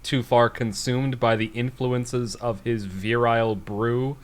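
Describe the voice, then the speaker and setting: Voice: medium pitch, fairly even intonation, slow pace. A podcast co-host who chats casually and reads aloud from a story, in a giggly manner prone to breaking into laughter. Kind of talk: casual banter and reading a bad story aloud.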